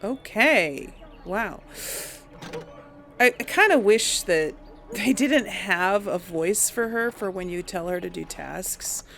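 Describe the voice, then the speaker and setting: A woman's voice: mid-range voice, lively intonation, slow pace, vocal fry, a bit bright. A woman doing casual game commentary, musing aloud to herself and her audience. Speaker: let's play host. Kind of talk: casual game commentary with some role play.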